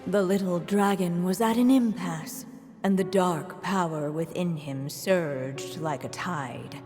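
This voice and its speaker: A woman narrating a fairy tale in a solemn, measured storytelling voice. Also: fairly low pitch, a little mellow. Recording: long reverb with game music.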